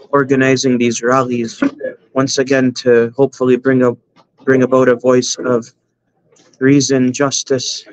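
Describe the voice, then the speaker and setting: A man in his thirties speaks over a video call from a room at home, earnest and measured, pausing with fillers between phrases. His voice stays flat in pitch. The audio is dull and lo-fi. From Canada. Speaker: imam, guest. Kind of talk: interview answers.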